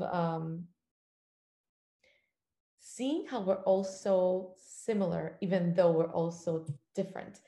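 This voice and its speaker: A woman's voice: fairly low pitch, reverberant. A woman in her thirties from Cuba, a travel journalist telling her story as a podcast guest with eager, filler-laden talk. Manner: warm, enthusiastic storytelling with many "um" fillers. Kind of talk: casual interview talk.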